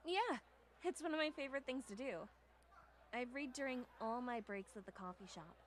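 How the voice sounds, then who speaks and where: A woman's voice: sits high, animated, normal speed, slight background noise. A woman reading the part of a kind, bookish dater in a visual novel game, reassuring and conversational. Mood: thoughtful. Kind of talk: visual novel dialogue read aloud.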